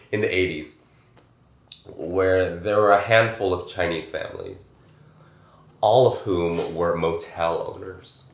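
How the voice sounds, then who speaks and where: A middle-aged man from Taiwan, a podcast guest chatting casually, animated and quick with questions. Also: low-pitched. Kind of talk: casual conversation. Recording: roomy echo.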